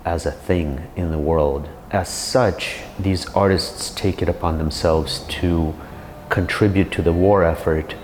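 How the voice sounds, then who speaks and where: A man giving documentary commentary in complete, measured sentences, with music playing behind him. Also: deep voice, a little hiss or hum. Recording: clear music bed behind voice.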